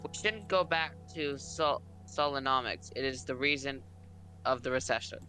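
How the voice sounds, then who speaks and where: A man voicing an argumentative free-market minister in a fan reading of a political game, formal but slightly halting. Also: medium pitch, pushed and bright, natural voice, rough recording. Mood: argumentative, insistent. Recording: music behind the voice.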